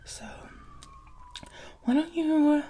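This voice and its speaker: A woman speaking very softly and breathily, often in a whisper, as a gentle, encouraging interviewer in an ASMR roleplay. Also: sits high, flat delivery, extremely slow, a little hiss or hum. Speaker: ASMR roleplayer playing a restaurant job interviewer.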